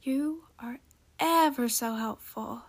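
A woman's voice: fairly high pitch, level tone, slow pace, slightly rough, exaggerated voice. Woman as a fiery, argumentative young female dragon. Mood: sarcastic. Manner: forceful, argumentative.

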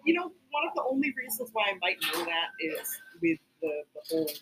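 A woman from Chicago hosting a casual podcast chat, upbeat and quick to laugh. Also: medium pitch, big pitch swings, background noise. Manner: laughs often, chatty and upbeat. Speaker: podcast host.

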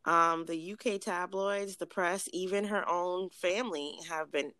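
A woman co-hosting a podcast in casual chat, blunt and profane, her voice a little raspy from cigars. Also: on the low side, fairly even intonation, measured pace, bright, forceful tone, no echo. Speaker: podcast co-host.

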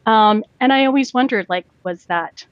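A woman lawyer in her forties, from Canada, speaks in an unhurried, reflective way and often restarts her sentences. The recording is dry and close, with a slightly muffled top end. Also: on the high side, no echo. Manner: thoughtful, with frequent fillers and restarts. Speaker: lawyer, podcast guest. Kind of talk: reflective podcast interview.

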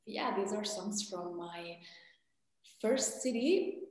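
A woman's voice: mid-range voice, slow, darkish tone. A woman from Germany with a German accent, a singer-songwriter casually introducing her acoustic set. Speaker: indie folk singer-songwriter, guest performer. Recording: very echoey, long reverb, music.

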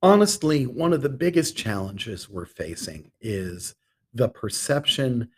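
A man's voice: medium pitch, very animated, measured pace, darkish tone, husky. A middle-aged man speaking as a theatre director being interviewed on a podcast, articulate and enthusiastic, with ornate turns of phrase.

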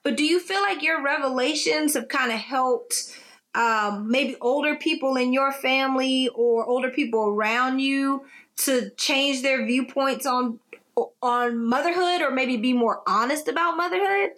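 A woman co-hosting a relaxed conversation, her voice pitched high. She talks in an animated way, laughs often and slips into little acted-out quotes. She is pregnant. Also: unhurried. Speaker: podcast co-host. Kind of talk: casual conversational interview.

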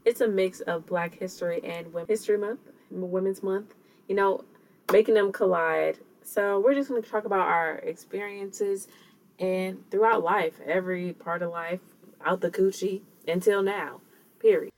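A woman's voice: medium pitch, normal speed, a little mellow. A woman co-hosting a podcast, chatting loosely with slang and leading segments. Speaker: podcast co-host. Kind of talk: casual podcast chat.

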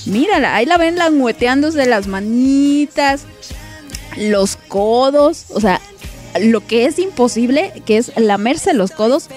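A woman with a Mexican accent hosting a live-stream show in casual chat, playful and joking, often breaking into laughter. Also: fairly high pitch. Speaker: host.

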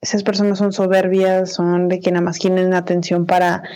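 A woman's voice: fairly low pitch, completely flat delivery, normal speed, dark tone. A Mexican woman in her twenties talks casually on a podcast with a friend. Her speech is loose and full of slang, with frequent repetitions and easy laughter. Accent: Mexican Spanish. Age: 20s. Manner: slangy, repeats herself, laughs often. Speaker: podcast co-host. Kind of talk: casual chat.

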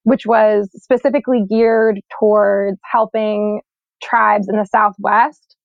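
Creaky young woman, dull lo-fi sound. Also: mid-range voice, slow pace, dry recording. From born in Sweden, raised in New York. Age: young adult. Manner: relaxed, reflective, heavy use of "like" and "um". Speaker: guest, climate scientist. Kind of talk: casual interview talk. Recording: dull lo-fi recording.

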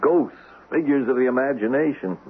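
An actor playing a calm but uneasy husband and loyal army friend in a tinny old-time radio drama. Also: male voice, medium pitch, normal speed, breathy edge, close to an everyday voice.

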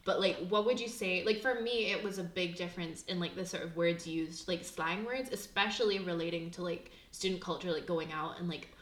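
A woman's voice: lowish voice, brisk, few pauses, reverberant. A young woman hosting a student podcast, asking questions in a chatty way that is full of "like". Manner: chatty, heavy use of "like". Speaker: podcast host. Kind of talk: casual podcast interview.

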